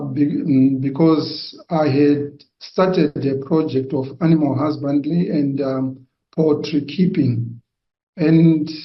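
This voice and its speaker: A middle-aged man from Zimbabwe, giving a personal testimony, hesitant and full of pauses. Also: on the high side. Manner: hesitant, with frequent pauses and fillers. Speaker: testimony giver. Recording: dull, roomy sound.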